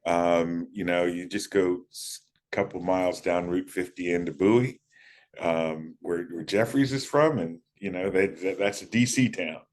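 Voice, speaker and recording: Deep-voiced man telling stories. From United States. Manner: relaxed storyteller, halting with fillers. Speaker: guest, former college running back. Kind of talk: casual interview talk.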